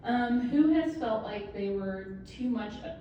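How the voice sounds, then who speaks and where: A woman's voice: fairly high pitch, expressive, measured pace, muffled recording. A woman in her thirties gives a personal speech to an audience as an author, candid and wry about herself. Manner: candid, self-deprecating humor. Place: event room with an audience. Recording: echoey room, distant mic, background noise.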